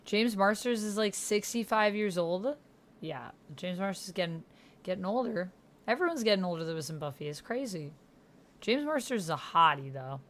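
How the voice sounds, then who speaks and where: A woman's voice: medium pitch, expressive, moderate pace. A woman giving casual commentary while typing and reading out an online roleplay chat, thinking aloud as she goes. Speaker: YouTuber playing a text-based roleplay game. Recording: noticeable background noise, keyboard typing. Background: computer keyboard typing.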